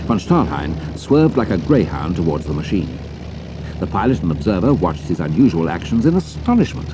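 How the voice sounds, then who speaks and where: Low, animated British male storyteller. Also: moderate pace, noisy background. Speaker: narrator. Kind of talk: scripted dramatic storytelling narration.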